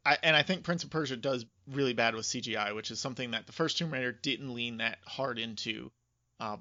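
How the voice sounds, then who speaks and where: A man's voice: medium pitch, sharp tone, dry recording. A man co-hosting a casual podcast, giving emphatic, informal opinions in a close but slightly dull recording. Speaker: podcast co-host. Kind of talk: casual chat about video game movies.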